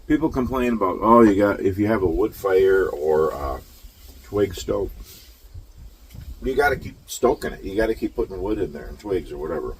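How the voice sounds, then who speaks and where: A man's voice: sits low, lively intonation, normal speed, slight background noise, low-quality recording. A man in his late fifties, a truck driver chatting casually and rambling easygoingly while sitting outdoors under a shelter in the rain, recorded on his phone. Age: 50s. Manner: rambling, easygoing, chuckles often. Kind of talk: casual outdoor vlog chat. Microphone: phone camera.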